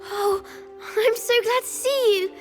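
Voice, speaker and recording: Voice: extremely high pitch, steady tone, a little slow, a bit bright, soft background music. A performer voicing a frightened, earnest little wizard boy in a video game. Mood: relieved.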